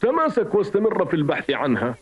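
A man's voice: high-pitched, huge pitch swings, quick pace, noisy background. A man voicing a kindly, encouraging old rhinoceros beetle with an avuncular, reassuring manner in a dubbed cartoon. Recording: dull lo-fi old cartoon soundtrack. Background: music.

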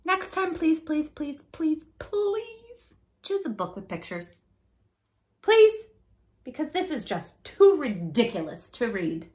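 A woman's voice: sits high, huge pitch swings, slow pace, a little mellow, slight background noise. A woman in her thirties reading a children's book aloud as a storytime host, with a theatrical, exaggerated silly delivery. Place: small living room, indoors on a sofa.

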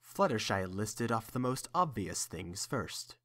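A man's voice: fairly low pitch, sing-song, unhurried, a bit bright. A man narrating a scripted story.

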